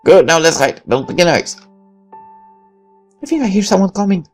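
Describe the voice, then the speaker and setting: A mischievous child scheming in a visual novel, played by a man with a raised, lively pitch. There is faint music underneath. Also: moderate pace. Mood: excited, scheming. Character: mischievous child plotting a prank with friends. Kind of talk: visual novel character read-aloud.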